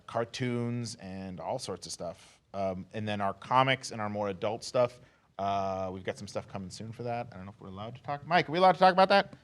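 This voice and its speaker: A man speaking as a comics company rep in a casual interview, joking and slangy, peppering his talk with "like". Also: lowish voice. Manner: slangy, joking, heavy use of "like". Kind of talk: casual, animated interview chat.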